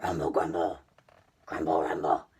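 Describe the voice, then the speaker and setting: A big-bellied storybook beast, rumbling far below her normal voice. The growl is deep, slow and menacing, made to sound huge. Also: little pitch movement, a little mellow, slight background noise. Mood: menacing rumble. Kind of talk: children's storybook read-aloud.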